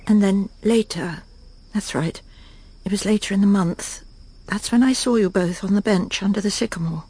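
A woman's voice: medium pitch, normal speed, dark tone, slightly rough, lightly acted. An actress in a radio drama playing a proper, judgmental English wife and mother with a clipped, haughty manner. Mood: musing, unsettled. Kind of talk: BBC radio drama acting.

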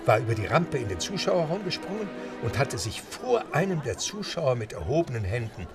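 A man's voice: medium pitch, big pitch swings, a little fast, music in the background, natural voice. A man narrating an adventure story in a radio play, composed and lightly wry, in scripted style. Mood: vivid, wry.

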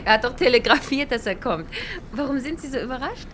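A woman's voice: sits high, animated, brisk, a bit bright. An actress playing a self-assured, inquisitive young woman in a radio crime drama, direct and full of questions. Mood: puzzled. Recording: noisy outdoor harbour sound effects.

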